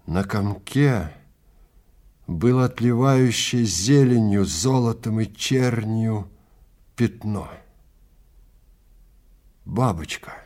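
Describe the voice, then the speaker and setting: A man narrating a science fiction audio drama theatrically, in deliberate short phrases with weighty pauses. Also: lowish voice, lively intonation, darkish tone. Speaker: narrator and actor.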